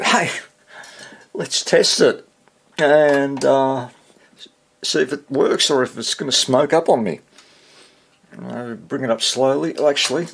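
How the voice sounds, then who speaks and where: A man with a husky voice giving a casual hands-on repair demonstration, half muttering to himself as he works. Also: mid-range voice. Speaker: hobbyist radio repairer.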